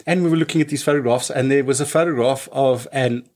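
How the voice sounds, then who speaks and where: A man's voice: medium pitch, fast, darkish tone. A man in his fifties, a musician telling childhood stories in a casual interview, with lots of restarts and fillers. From South Africa. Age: 50s. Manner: rambling, many restarts and fillers. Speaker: musician guest.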